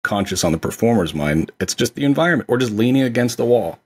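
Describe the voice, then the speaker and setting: A man's voice: mid-range voice, animated, fast, breathy edge. A man co-hosting a podcast, talking casually and enthusiastically about a film, with lots of fillers. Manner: enthusiastic, rambling with many fillers. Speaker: podcast co-host. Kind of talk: casual movie discussion.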